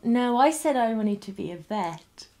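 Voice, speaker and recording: A woman's voice: a little high, lively intonation, unhurried, dark tone. A young woman who trained in acting, speaking casually in a documentary interview and often saying "like". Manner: chatty, lots of "like". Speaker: interviewee, actor.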